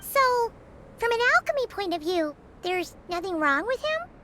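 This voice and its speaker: A squeaky, bubbly little companion. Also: female voice, sing-song, normal speed, noisy background. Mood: curious. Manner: bubbly, eager exclamations. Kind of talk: game cutscene voice acting. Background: background music.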